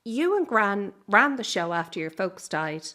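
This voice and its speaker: A woman's voice: medium pitch, big pitch swings, measured pace, sharp tone. A woman acting a young Irish circus granddaughter in a radio drama, caring but blunt with her family. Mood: warm, prompting.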